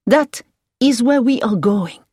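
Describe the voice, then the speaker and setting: Woman voicing an enthusiastic male driver, raised pitch. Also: animated, slow pace, a little mellow, husky, no echo, clean studio sound, heavily acted. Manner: enthusiastic, emphatic. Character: eager, proud French-speaking male driver, polite to madame.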